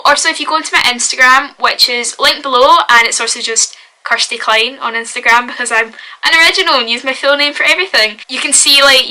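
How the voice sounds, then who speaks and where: A woman's voice: on the high side, sharp tone, poor audio. A young woman with a Glasgow accent chatting casually and cheerfully to camera in her bedroom, full of "like" and "wee.". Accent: Glaswegian. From Scotland. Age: young adult. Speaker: vlogger. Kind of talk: casual vlog chat.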